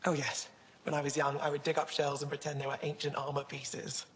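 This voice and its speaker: Actress as young alien relic curator, game dialogue. Low female voice, earnest and wistful, in a reverberant hall. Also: level tone, a little fast, lightly acted. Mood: thoughtful.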